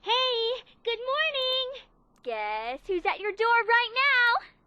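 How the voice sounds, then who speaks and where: A woman's voice: extremely high pitch, big pitch swings, slow pace, lo-fi sound, exaggerated voice. A woman voicing a bubbly, teasing young female friend in a story game.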